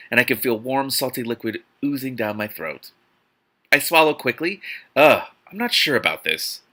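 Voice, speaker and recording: Animated, bright-voiced man reading with mocking commentary. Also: mid-range voice. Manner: animated, theatrical, incredulous, swearing, sarcastic asides. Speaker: host reading a novel aloud with commentary. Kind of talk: dramatic book reading broken by mocking, exasperated commentary.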